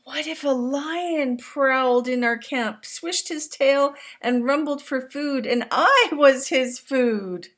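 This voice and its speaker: A woman's voice: high-pitched, takes it slowly, few pauses, fairly bright tone, pitched above the performer's own voice. A woman voicing a young boy who eagerly asks his father question after question, read aloud from a picture book. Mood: pleading. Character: young Maasai boy, curious and anxious.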